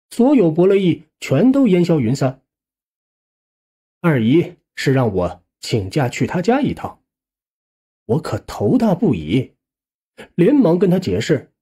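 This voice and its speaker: A man narrating a scripted first-person suspense audiobook in a conversational, expressive way. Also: fairly high pitch, husky.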